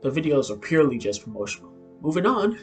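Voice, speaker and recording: A man's voice: on the high side, very animated, fast. Casual man narrating over music, slightly stumbling. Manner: informal, stumbling over words, offhand asides. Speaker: YouTube video host. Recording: roomy echo, music behind. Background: background music.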